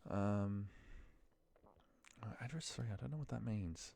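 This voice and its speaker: Low-pitched, creaky-voiced man thinking aloud. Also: a little slow, dark tone. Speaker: office worker recording a spreadsheet walkthrough. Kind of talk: informal screen-recording walkthrough, thinking aloud.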